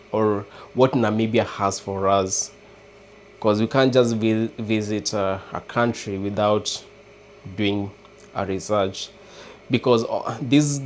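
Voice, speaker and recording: A man with a Kenyan accent chatting casually with viewers on a livestream, hesitant and halting, often restarting words. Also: fairly low pitch, slight background noise. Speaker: livestream host. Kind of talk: casual live chat with viewers.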